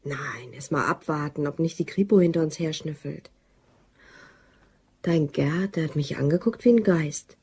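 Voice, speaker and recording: A cool woman plotting as a partner in crime in a radio drama. Her voice is husky and slightly rough, and she speaks in a dry, self-assured, bossy way. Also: low voice, moderate pace, a little mellow, exaggerated voice. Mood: smug. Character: cool, scheming female office worker and partner in crime.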